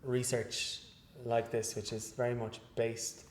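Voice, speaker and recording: A male researcher giving an interview after a lecture, speaking in a measured, hesitant way with many fillers and restarts. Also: mid-range voice, monotone. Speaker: guest researcher. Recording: echoey room.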